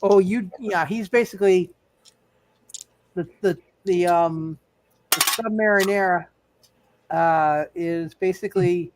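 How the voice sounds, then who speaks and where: A man's voice: high voice, slow, pauses often, noisy background. A man narrating a live tabletop superhero game as game master, stammering and often repeating his words.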